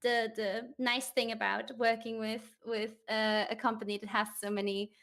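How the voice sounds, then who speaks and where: Woman from Austria, higher voice, animated and informal company livestream talk. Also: normal speed. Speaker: company communication manager, livestream participant. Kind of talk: casual chat.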